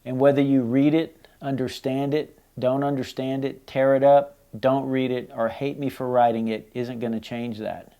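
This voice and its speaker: Middle-aged man, creaky voice, soft dark tone, personal letter reading. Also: mid-range voice, level tone, moderate pace, few pauses. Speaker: son reading letters and reflecting.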